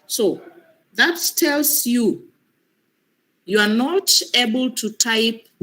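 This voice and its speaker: A woman whose voice is breaking from a cold leads a live training session over a computer mic. She speaks slowly and patiently, circling back to repeat each instruction. Her pitch sits in an ordinary middle range. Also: a bit bright, low-quality recording. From Kenya. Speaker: online exam trainer.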